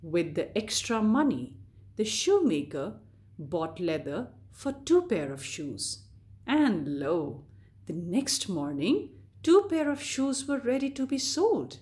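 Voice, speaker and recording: Lady reading a fairy tale for kids, real slow and deliberate, voice goes up and down a lot. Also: medium pitch. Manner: deliberate, expressive read-aloud delivery addressed to children. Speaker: children's storyteller.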